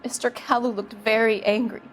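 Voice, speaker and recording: A woman's voice: a little high, flat delivery, moderate pace, soft background music, natural voice. An actress playing a young female shop employee in a video game, an anxious and evasive murder witness who speaks hesitantly and guardedly. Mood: reluctant. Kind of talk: dramatic video game acting.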